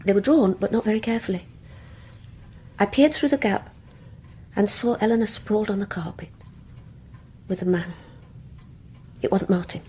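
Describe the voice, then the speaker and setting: An actress performs an anxious British younger woman in a radio play. Her voice is very husky and breathy, at a middling pitch. She speaks tensely and hesitantly, like someone confessing guilty secrets. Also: moderate pace, pauses often, dark tone, close to an everyday voice. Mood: confessional. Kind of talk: radio play acting with intimate first-person narration.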